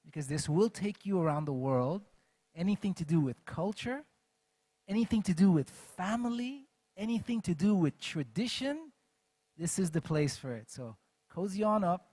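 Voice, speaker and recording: A man's voice: sits high, expressive, normal speed, slightly rough, no room sound. Upbeat man hosting a panel. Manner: enthusiastic, warm welcome to audience. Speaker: moderator. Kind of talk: book fair panel hosting. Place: event stage.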